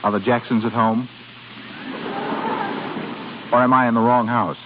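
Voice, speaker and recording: An actor playing a suave, teasing and ardent suitor in a smooth 1930s radio drama manner. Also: male voice, mid-range voice, little pitch movement, measured pace, lightly acted. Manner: smooth, playful, romantic. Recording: muffled, hissy 1930s radio broadcast.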